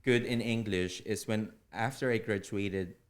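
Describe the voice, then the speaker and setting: Filipino guy w tagalog accent, lowish voice, talks kinda formal and deliberate in an interview. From Philippines. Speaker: interview guest, public speaking club officer. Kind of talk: earnest interview explanation.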